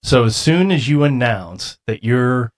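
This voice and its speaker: A man hosting an interview, chatting casually in a loud, excitable, rambling way that keeps breaking off and restarting. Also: mid-range voice, a little slow. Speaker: radio show host interviewer.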